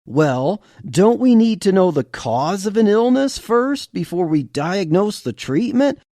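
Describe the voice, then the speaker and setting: A man's voice: high-pitched, big pitch swings, measured pace, mellow, no room sound, clean studio sound. A man reading narration for a fiction podcast, argumentative and rhetorical. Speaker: podcast reader.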